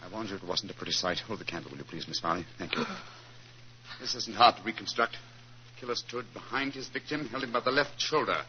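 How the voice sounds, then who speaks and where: Brisk detective, low, forceful voice, assured and clipped, muffled old radio drama. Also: male voice. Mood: brisk, analytical. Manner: clipped, deductive, commanding. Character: brisk, assured male English detective in disguise. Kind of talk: 1940s radio acting. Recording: muffled, noisy 1940s radio recording.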